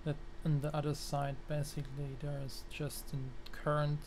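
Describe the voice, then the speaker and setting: Guy slowly thinking out loud about tech stuff, kinda monotone, lots of pauses, bit of noise behind. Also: fairly high pitch. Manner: halting, pausing mid-sentence while reasoning. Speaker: electronics hobbyist presenter. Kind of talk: thinking aloud, technical walkthrough.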